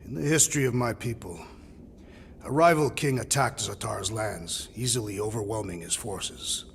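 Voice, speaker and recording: A man playing a solemn, honour-bound alien warrior in a video game cutscene, speaking gravely and formally. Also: fairly low pitch, moderate pace, long reverb tail, a little hiss or hum. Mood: earnest, dignified. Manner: grave, formal, storytelling delivery without contractions.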